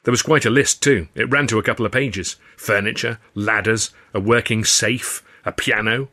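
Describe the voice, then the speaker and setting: A man reading a scripted first-person story as an audiobook narrator, in an expressive storytelling manner. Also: lowish voice, moderate pace.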